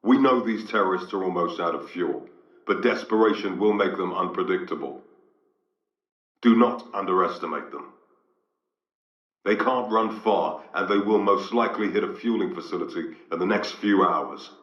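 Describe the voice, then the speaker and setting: A man's voice: low-pitched, moderate pace, muffled recording, lightly acted. Casting: male actor as authoritative middle-aged fleet commander for a sci-fi shooter game; clipped, formal delivery, slightly distant echoey sound. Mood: stern, measured. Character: stern, authoritative male military vice admiral commanding troops. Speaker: military commander. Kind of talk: video game military briefing voice acting.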